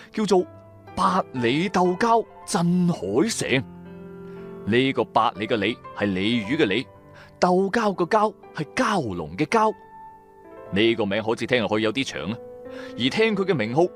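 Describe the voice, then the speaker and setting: Man acting a lively, wry Cantonese adventurer-narrator. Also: mid-range voice, natural voice. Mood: storytelling. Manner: colloquial, animated, wry. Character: streetwise, wry businessman turned tomb raider. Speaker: narrator and main character of an audio drama. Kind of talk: first-person dramatic storytelling, colloquial radio drama.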